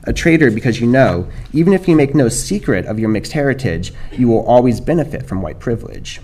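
A middle-aged American man giving a measured academic talk at a lectern, reading from notes with small restarts. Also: mid-range voice, animated, a little mellow. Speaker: panel speaker. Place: dark lecture hall, standing at a lectern. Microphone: lectern microphone.

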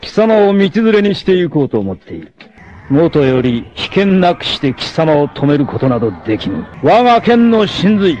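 A man playing a cocky, free-spirited, fearless martial-arts warrior in a dramatic 1980s anime, with theatrical, rough swagger. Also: a little high, big pitch swings, moderate pace, darkish tone, muffled recording. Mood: defiant, bold.

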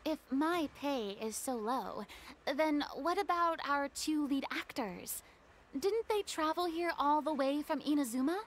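A vain, theatrical young diva. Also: female voice, high-pitched, lively intonation, unhurried, slight background noise. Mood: haughty. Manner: grandiose, boastful, dramatic. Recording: game audio with music behind.

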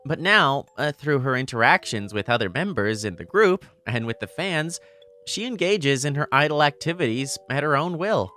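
A woman's voice: extremely low pitch, lively intonation, normal speed, fairly bright tone. A woman voicing an earnest, easily flustered teenage boy in a manga dub, narrating and speaking expressively. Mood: reflective. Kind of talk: manga dub dialogue and first-person narration.

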